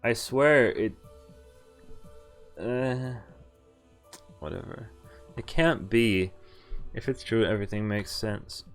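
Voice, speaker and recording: A man's voice: fairly low pitch, slow, pauses often, soft background music. A man reading visual novel text aloud and adding casual, sweary commentary as he streams. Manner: swears freely.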